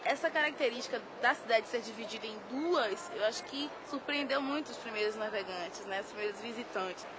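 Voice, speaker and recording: A woman's voice: a little high, brisk, hardly any pauses. Young woman explaining outdoors. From Salvador, Brazil. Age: 20s. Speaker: interviewee, journalism student and percussionist. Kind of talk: casual explanation to camera. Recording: outdoor street noise with passing vehicles.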